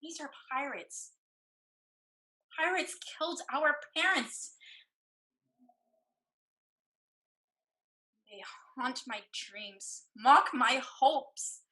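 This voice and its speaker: A woman's voice: high-pitched, expressive, slow pace, pushed and bright, exaggerated voice, poor audio. A woman playing an anxious, stubborn sister who protests earnestly and dramatically in a staged play reading. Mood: fearful, reluctant.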